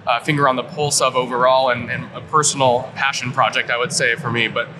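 A man's voice: mid-range voice, level tone, brisk, a crowd in the background. A man from rural Kansas talking conversationally as an analyst guest in an interview at a trade show. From rural Kansas, United States. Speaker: guest, industry analyst and director. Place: live at an automotive trade show. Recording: noisy trade show floor. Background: clear ambient noise.

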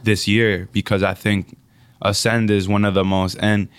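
Low, flat-voiced young man from Dominican Republic. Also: clear, resonant tone, no room sound. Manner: reflective, halting, many fillers. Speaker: rapper guest. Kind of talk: casual interview.

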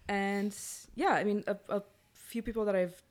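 A woman's voice: mid-range voice, fairly even intonation, moderate pace. A woman speaking as a guest in a casual panel chat, loosely repeating phrases as she goes. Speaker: guest playwright and director.

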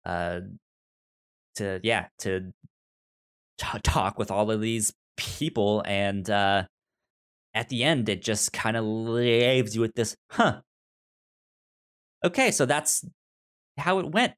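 A man co-hosting a casual film discussion podcast, talking in a halting, stammering way with repeated words and trailing phrases. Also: on the low side, a bit bright, clean tone. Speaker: podcast co-host.

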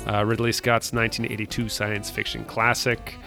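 A man co-hosting a radio show, casually running through listings in a halting, hesitant way. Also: lowish voice, little pitch movement, vocal fry, over music. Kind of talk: casual chat, reading film listings.

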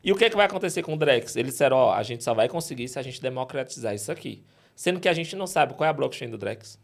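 A man from Ceará, a podcast guest talking in an animated, emphatic, exasperated way. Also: medium pitch, fast talker, creaky. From Ceará, Brazil. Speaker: guest, payments company director. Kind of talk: casual podcast interview.

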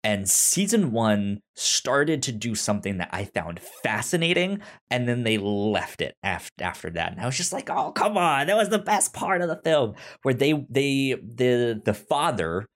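Halting, casual male podcast host. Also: medium pitch, sing-song. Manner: halting, repeats and restarts words. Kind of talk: casual chat.

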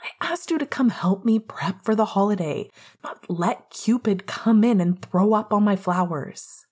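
A woman's voice: medium pitch, a little slow, darkish tone, husky. A woman as a grumpy, sardonic young man. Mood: exasperated. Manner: dry, exasperated, sarcastic. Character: grumpy, sardonic young male punk florist.

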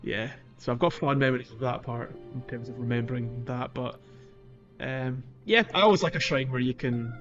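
Guy co-hosting a gaming podcast, mid pitched voice, rambly and chuckly, light music under it. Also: moderate pace, lo-fi sound. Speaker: podcast co-host. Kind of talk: casual two-man video game playthrough chat.

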